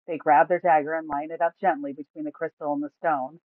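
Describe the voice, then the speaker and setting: A woman's voice: low voice, fairly even intonation, fairly quick, no echo. A woman reading scripted fantasy story narration from a tabletop campaign. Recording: thin, phone-like, dry sound.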